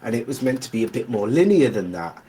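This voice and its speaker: A man narrating as dungeon master in a casual, hesitant way, with many pauses and fillers. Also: medium pitch, sing-song, warm tone, husky. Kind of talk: narrating a tabletop game.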